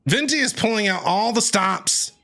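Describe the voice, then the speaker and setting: A man's voice: high-pitched, animated, normal speed, gravelly, pushed and bright. A man giving casual, joking, exclamatory commentary as he streams a video game.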